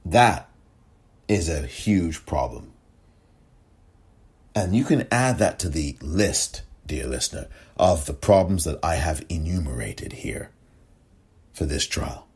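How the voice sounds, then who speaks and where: A middle-aged man hosting a solo commentary podcast, animated and sarcastic, his voice cracking from excitement and leaping high when he gets indignant. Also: slow pace, pauses often, husky. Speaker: podcast host.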